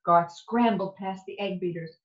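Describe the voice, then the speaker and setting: A woman reading a children's picture book aloud in an expressive, playful and deliberate way. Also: medium pitch. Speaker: storybook reader. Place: indoor room at home. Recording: echoey room, dull lo-fi sound.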